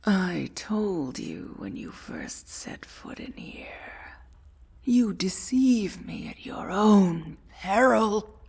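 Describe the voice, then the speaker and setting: Woman doing a bossy regal dragon queen, super slow n breathy, creaky edge, soft fantasy asmr, kinda menacing. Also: medium pitch, big pitch swings, full character voice. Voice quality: breathy, soft, often whispered. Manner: slow, deliberate, imperious, formal diction. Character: domineering, regal female dragon queen, dangerous and possessive.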